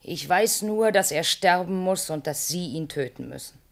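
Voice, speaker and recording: A cool, commanding woman in a radio play, low voice, calm insistence. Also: normal speed. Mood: insistent. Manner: calm, insistent, sometimes whispering. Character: cold, commanding woman urging a murder.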